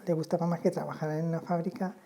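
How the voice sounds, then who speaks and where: Woman from Alpera, very dark and flat, unhurried explainer. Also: fairly low pitch. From Alpera, Spain. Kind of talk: documentary interview testimony.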